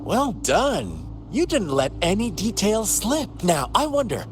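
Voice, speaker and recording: An actor playing a theatrical, teasing storyteller with a sinister edge, telling a dark fable in a deliberate, coaxing manner for a game cutscene. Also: male voice, high voice, wildly swooping pitch, faint music behind, full character voice. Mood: teasing, probing.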